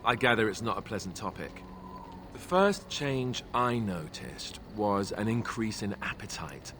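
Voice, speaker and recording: A boyish young warrior from a fantasy video game. His voice sits low, with a wry, sardonic, chatty delivery. Clear background noise runs under the dialogue. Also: animated, a little slow. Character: young male warrior, wry, boyish, self-deprecating comrade.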